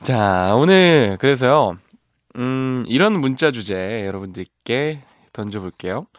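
A man's voice: mid-range voice, slow, no room sound. A man, a ballad singer, hosting a live radio show in a studio and chatting casually between listener messages. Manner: relaxed, chatty, self-deprecating. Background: faint guitar music.

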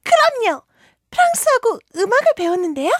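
A woman's voice: very high voice, sing-song, very fast, no room sound, studio quality, voice pushed up. A woman playing a pert, indignant little girl in a storybook, curious and protesting in a childlike way. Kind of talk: storybook character voice.

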